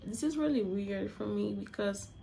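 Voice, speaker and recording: Young female vlogger from Angola, Portuguese first language, gravelly, restarts phrases a lot. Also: mid-range voice, normal speed, warm tone, a little hiss or hum. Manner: chatty, searching for words, restarts phrases. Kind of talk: casual opinion vlog.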